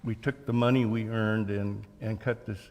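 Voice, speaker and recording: An American man in his seventies talking as an interview guest, recounting his work and memories in a measured, halting way. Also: on the low side, level tone, a little mellow. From Oregon, United States. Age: 70s. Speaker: interview guest, author and journalist. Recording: long hall reverb.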